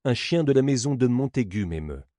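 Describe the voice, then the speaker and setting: A man's voice: mid-range voice, sing-song, a little fast, dark tone, no echo, studio quality, close to an everyday voice. A man voicing a swaggering, crude servant in a stage play who brags and blusters, spoiling for a fight. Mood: boastful, combative.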